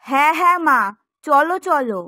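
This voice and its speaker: A woman's voice: high-pitched, lively intonation, moderate pace, bright, forceful tone, soft background music. A woman voicing an eager, dutiful young daughter-in-law in a cartoon, with a chatty, practical, coaxing manner. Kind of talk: cartoon story dialogue.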